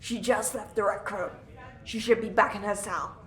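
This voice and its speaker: A woman's voice: medium pitch, steady tone, moderate pace, roomy sound, lightly acted. A woman playing an easygoing, put-upon spaceship guard, casual and good-naturedly grumbling, in an audio drama. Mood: resigned.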